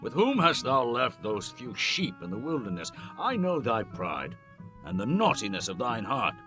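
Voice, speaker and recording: A man's voice: medium pitch, wildly swooping pitch, normal speed, fairly bright tone, faint music behind. A man playing an angry eldest brother in a dramatized scripture reading, scolding with scorn and accusation. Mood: angry, scornful.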